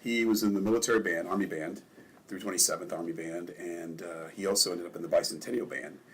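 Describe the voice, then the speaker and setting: An older man from Maryland, a ward councilman, telling relaxed stories in a casual interview and chuckling often. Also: low-pitched, quick pace, slight background noise.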